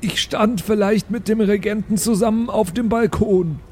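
A man's voice: high voice, normal speed, darkish tone, breathy edge. A man chatting casually as a player in an improvised role-playing game, often thinking aloud.

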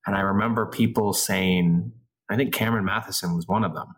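Laughing, rambling man, lowish voice. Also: fairly even intonation, a little fast. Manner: laughing, rambling, self-deprecating. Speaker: actor guest. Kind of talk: casual podcast interview.

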